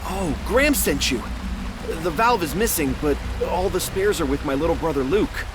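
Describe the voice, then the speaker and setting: An actor voicing a practical, friendly animal plumber and dutiful older brother in a video game, earnest and matter-of-fact. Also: male voice, fairly high pitch, lively intonation, moderate pace, noisy background, natural voice. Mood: helpful.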